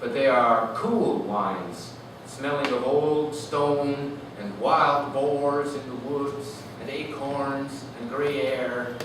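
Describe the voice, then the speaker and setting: Echoey, distant man reading literary prose. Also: mid-range voice. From Canada. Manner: animated, lyrical, deliberate phrasing. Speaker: author reading own work. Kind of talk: expressive literary reading to an audience. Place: live reading event in a room. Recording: echoey noisy room, distant mic.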